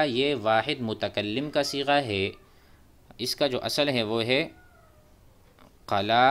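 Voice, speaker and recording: Male language teacher, unhurried and patient, mid-pitched voice, repeats phrases. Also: a bit bright. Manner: repeats Arabic phrases, then explains them in Urdu. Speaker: Arabic teacher. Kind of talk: slow explanatory lesson. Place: voice-over on a screen-recorded whiteboard.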